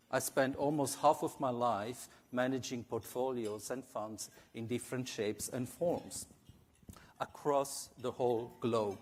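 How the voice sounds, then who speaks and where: A man's voice: mid-range voice, darkish tone. A man in his forties with an Italian accent, a portfolio manager giving a formal conference presentation with light touches of humor. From Italy and Switzerland. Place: conference stage.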